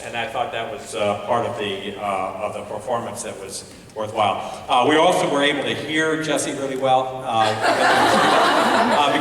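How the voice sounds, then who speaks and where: Halting man in a very echoey hall. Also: mid-range voice, fairly bright tone, a little hiss or hum. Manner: halting, searching for words. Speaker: festival adjudicator giving feedback on plays. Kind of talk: informal spoken critique at an awards ceremony. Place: large hall at a school ceremony. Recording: very echoey hall, distant microphone.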